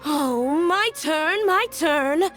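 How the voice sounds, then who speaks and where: An eager game townswoman. She speaks in a high voice at a slow, slightly hesitant pace, with light music behind her. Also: lively intonation, a bit bright, heavily acted. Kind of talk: video game character dialogue.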